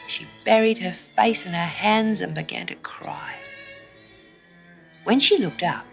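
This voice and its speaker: A woman reading a scripted children's story in an expressive manner, with dramatic pauses. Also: fairly low pitch.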